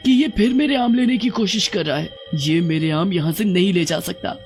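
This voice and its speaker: A woman's voice: on the low side, moderate pace, soft background music, natural voice. A woman voicing a greedy, possessive witch in a cartoon horror story, with an indignant manner. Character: greedy, possessive female witch obsessed with mangoes.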